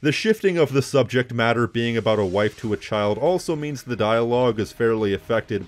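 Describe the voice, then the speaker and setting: Conversational man doing game commentary. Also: mid-range voice. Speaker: video essay host. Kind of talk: casual scripted commentary on video games.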